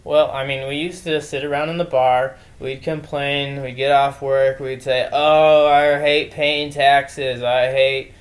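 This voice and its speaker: A man's voice: mid-range voice, flat delivery, a little slow, few pauses. A man of thirty talks in a loose, conversational way. He co-hosts a casual chat with a light joking streak. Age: 30s. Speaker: podcast co-host.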